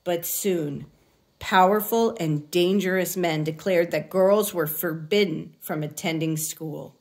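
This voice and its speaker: Slow-paced woman reading a picture book aloud. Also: on the low side, a little mellow. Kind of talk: expressive children's story read-aloud.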